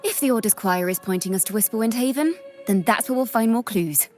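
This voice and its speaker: A woman's voice: a little high, brisk, darkish tone, soft background music, natural voice. A woman voicing a poised, friendly traveling bard in a video game, articulate and thoughtful. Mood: thoughtful, teasing.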